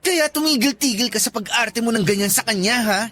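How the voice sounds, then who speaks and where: A man's voice: extremely high pitch, fairly quick. An actor playing a sassy, flamboyant young male best friend in a radio drama, teasing and scolding.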